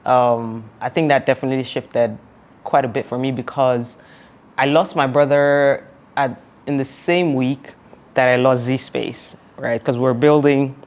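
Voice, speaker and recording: A woman's voice: extremely low pitch, fairly even intonation, a little slow, a little mellow. Nigerian woman telling her story with laughs. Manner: earnest, laughs often, many "like" and "you know" fillers. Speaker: founder and podcast guest. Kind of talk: reflective conversational storytelling.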